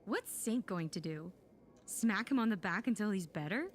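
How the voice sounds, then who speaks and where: A woman's voice: medium pitch, expressive, moderate pace, slight background noise, close to an everyday voice. A woman playing a feisty, impatient young female warlock in an audio drama, sarcastic and emphatic. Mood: defensive, worried.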